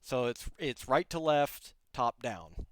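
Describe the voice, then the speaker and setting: A man's voice: mid-range voice, animated, slow, smooth, no room sound, clean studio sound. A man hosting a live-streamed podcast interview, rambling casually with many restarts and frequent laughs. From outside Germany. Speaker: podcast host.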